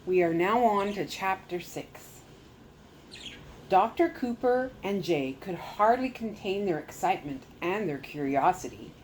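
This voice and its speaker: A woman's voice: medium pitch, animated, measured pace, a little mellow, a little hiss or hum, rough recording. A woman storyteller reading a children's adventure chapter book aloud to her listeners.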